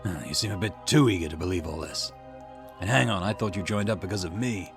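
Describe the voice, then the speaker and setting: A man voicing a gruff, impatient older police detective in a visual novel, with curt, weary, grumbling delivery. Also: sits low, fast. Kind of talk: acted visual novel dialogue. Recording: music behind the voice.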